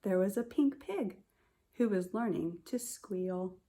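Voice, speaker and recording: A woman kindergarten teacher reading a picture book aloud to young children in a simple, expressive way, speaking to them directly. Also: medium pitch, slow pace. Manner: addresses children directly with questions and prompts.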